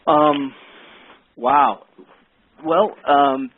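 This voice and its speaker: A man's voice: fairly high pitch, steady tone, drawn-out words, slightly rough. A man in his forties with an American accent, a musician guest telling his story in a friendly, rambling way over the phone. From Soviet Union, raised in Ohio. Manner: rambling, friendly, with light laughs. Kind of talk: phone interview. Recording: tinny, dry phone line.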